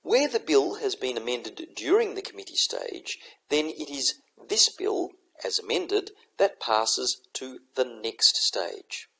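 A man giving a recorded lesson, explaining in a measured, methodical way. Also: mid-range voice, sing-song, gravelly, bright, forceful tone, breathy edge, poor audio. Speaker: teacher. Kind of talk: recorded lecture over slides.

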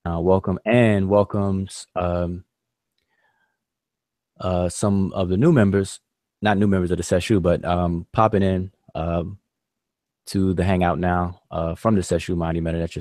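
A man with a very deep voice teaches an online class in a relaxed, easygoing way, leaning on "all right" and other fillers. The sound is dry and close, as if over a call. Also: moderate pace, no room sound.

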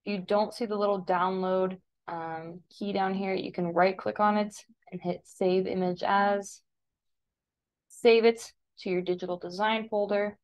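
An American woman teaching a step-by-step software tutorial in an informal, instructive way. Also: medium pitch, fairly even intonation, measured pace. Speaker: digital design teacher. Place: voice-over for a screen recording.

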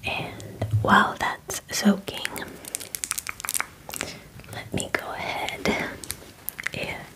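A woman in her twenties doing a whispered ASMR personal-attention roleplay, gentle, soothing and breathy, close to the microphone. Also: extremely slow, long pauses, rough recording. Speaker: ASMR roleplayer playing a werewolf spa groomer. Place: small indoor room. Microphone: close microphone, near the camera.

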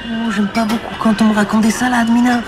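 An actor playing a suspicious, surly ex-convict in a radio drama, talking in blunt, bullying slang. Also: male voice, very high voice, level tone, a little fast. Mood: suspicious, irritated. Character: surly, suspicious male ex-convict just out of prison. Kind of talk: French radio drama acting. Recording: loud music under the voice.

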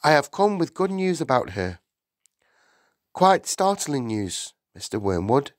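Man putting on an earnest, resolute lady schoolteacher, higher pitch. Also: big pitch swings, a little slow, no echo, studio quality. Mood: polite, earnest. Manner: earnest, polite, firm. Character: slim young woman schoolteacher, polite but resolute.

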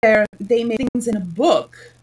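A woman's voice: a little high, unhurried, darkish tone, distant mic, natural voice, poor audio. A woman voicing a pompous, irritable male talking egg from a storybook, haughty and snappish in manner. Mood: boastful, condescending. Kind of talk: storybook character reading.

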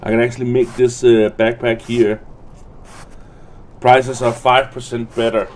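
Slow-talking man muttering game commentary. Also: mid-range voice, flat delivery, slight background noise. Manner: muttering to himself, reading item names aloud. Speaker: gamer recording a let's play.

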